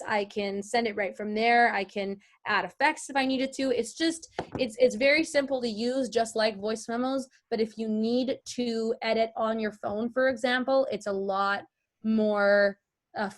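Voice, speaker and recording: A woman giving an informal lesson as a voice-over artist, chatty and explanatory with emphatic points. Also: mid-range voice. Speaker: voice-over artist teaching a class. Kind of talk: informal instructional talk. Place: indoors, online video call.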